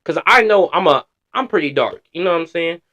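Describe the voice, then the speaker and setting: A man's voice: on the high side, lively intonation, brisk, bright, forceful tone, muffled recording. A man streaming casual reaction commentary, slangy and blunt, swearing freely.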